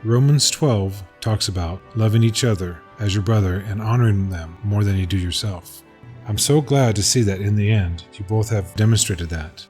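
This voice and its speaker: A father narrates a home-made audio drama in a low, gentle voice, earnest and warm toward his sons. Soft music sits beneath his storytelling. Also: moderate pace.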